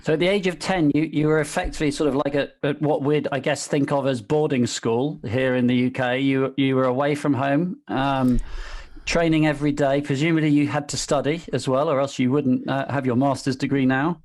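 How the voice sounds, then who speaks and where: A man's voice: mid-range voice, fairly even intonation, a little mellow. Middle-aged man hosting. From United Kingdom. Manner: friendly, self-deprecating, halting with restarts. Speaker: interviewer, host. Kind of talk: casual interview over video call.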